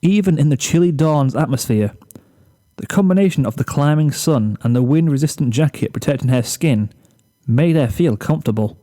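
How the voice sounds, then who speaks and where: A man's voice: fairly high pitch, expressive, moderate pace, dark tone. A man reading a comic story aloud as narrator, in scripted narration.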